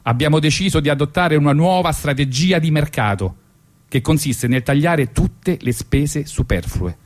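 Man acting out smug boss, swooping into higher pitch. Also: normal speed, close to an everyday voice. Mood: feigned regret. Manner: hypocritically polite, self-justifying. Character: smug, falsely regretful Italian boss firing a worker. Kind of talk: satirical monologue storytelling.

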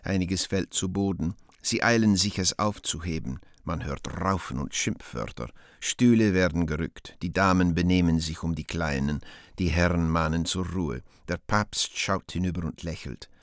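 A man's voice: low voice, moderate pace, no echo, studio quality. A man reading scripted stage directions as narrator of a radio play.

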